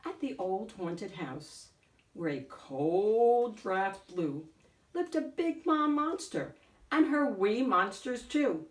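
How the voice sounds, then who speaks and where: A woman reading a picture book aloud as host, in a deliberate, theatrical storytelling way. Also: mid-range voice, very animated, far from the mic. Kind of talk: expressive picture-book narration. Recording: echoey room, off the mic.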